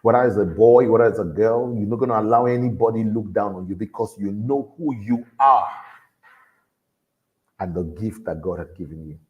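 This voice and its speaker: A man with a deep voice, giving an earnest motivational talk to young people and repeating his key lines for emphasis. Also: moderate pace, highs cut off. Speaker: motivational speaker at a youth event.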